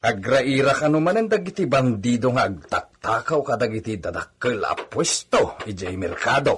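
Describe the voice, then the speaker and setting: A man plays a worried servant in a radio drama. His husky, mid-pitched voice sounds earnest and respectful. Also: lively intonation, moderate pace, a bit bright. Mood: deferential, worried. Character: deferential, worried male servant of a hacienda. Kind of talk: Ilocano radio drama acting.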